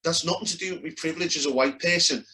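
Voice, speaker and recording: A blunt, argumentative man with a strong Liverpool accent pushes back hard in a casual debate. His voice is bright and forceful, pitched a little above the usual for a man, and he presses his points with emphasis. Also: quick pace. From Liverpool, England.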